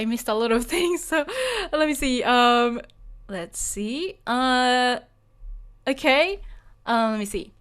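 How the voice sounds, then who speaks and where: A woman's voice: a little high, fairly bright tone, smooth. A Japanese woman teaching Japanese in English with a Japanese accent, answering a live chat, hesitant and apologetic with lots of fillers.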